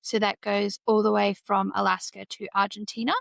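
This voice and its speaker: A woman's voice: mid-range voice, flat delivery, moderate pace, gravelly, fairly bright tone, no echo. A woman with an Australian accent, chatting casually on a podcast with many fillers and little giggles. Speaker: co-host. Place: travelling, on a video call from abroad.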